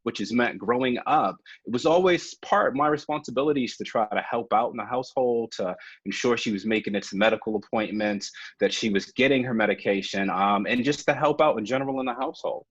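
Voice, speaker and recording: A man's voice: mid-range voice, fairly quick, few pauses, a bit bright, husky, no echo. A man in his forties giving an earnest, reflective webinar talk about his own life, with frequent um fillers. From United States. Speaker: webinar presenter. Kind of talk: personal storytelling presentation. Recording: dull, lo-fi webinar audio.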